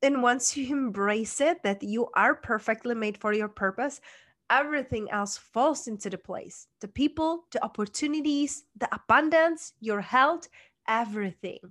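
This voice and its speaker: A woman's voice: a little high, moderate pace, fairly bright tone. A woman with a Czech accent, a guest coach telling her personal story in an interview, earnest and emphatic. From Czech Republic.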